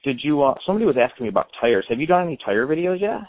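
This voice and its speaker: A man's voice: a little high, very animated, rapid-fire, dry recording. A man hosting an interview by phone in a casual, slangy, conversational way. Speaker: interview host. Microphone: telephone. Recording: tinny, band-limited phone line.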